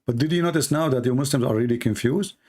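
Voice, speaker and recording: A man's voice: mid-range voice, fast talker, darkish tone. A man whose first language is Arabic, hosting a heated debate and pressing his points insistently, repeating himself and cutting in. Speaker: debate host. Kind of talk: argumentative debate.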